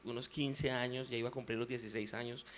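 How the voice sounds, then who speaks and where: A man with a Colombian paisa accent, a musician guest giving a reflective, unhurried faith testimony in an interview. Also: mid-range voice, fairly even intonation.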